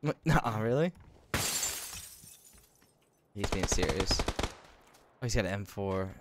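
A man's voice: on the low side, expressive, takes it slowly, heavy background noise. A man with a Philadelphia accent, streaming a game and chatting casually with teammates, joking and swearing when startled. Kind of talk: casual gaming chat.

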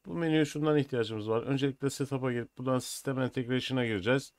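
An older man presenting a software tutorial in an informal, step-by-step way. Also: mid-range voice, fairly even intonation, very fast, no echo, studio quality. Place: small indoor room at a desk. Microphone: USB computer microphone.

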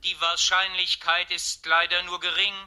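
High, monotone little robot. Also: male voice, normal speed. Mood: matter-of-fact. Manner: precise, clinical, matter-of-fact. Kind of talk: German children's audio drama acting.

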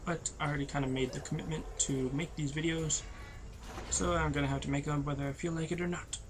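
A tired young man, losing his voice, giving low-energy, rambling commentary over a video game. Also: steady tone, fast, background noise. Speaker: gameplay commentator. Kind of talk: casual let's-play commentary.

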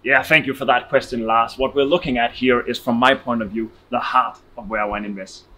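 A man, answering as an expert in a promotional interview, explanatory and slightly halting. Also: medium pitch, fairly even intonation, pushed and bright. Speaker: wine investment expert. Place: large indoor warehouse, walking.

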